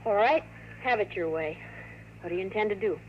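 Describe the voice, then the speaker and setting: An actress playing a guarded, anxious young secretary, earnest and urgent, in a 1950s radio drama on a muffled, hissy recording. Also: female voice, mid-range voice, fairly quick, lightly acted. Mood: cool, guarded.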